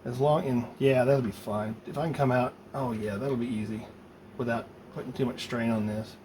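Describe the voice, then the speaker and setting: A man talking casually and haltingly, thinking aloud as he works through a hands-on project. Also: mid-range voice, steady tone. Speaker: hobbyist maker demonstrating a DIY project. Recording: loud background noise.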